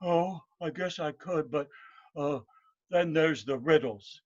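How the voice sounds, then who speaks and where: A man voicing a simple, good-hearted young village man in a storytelling performance, halting, stammering and naive. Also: a little high, lo-fi sound. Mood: hesitant.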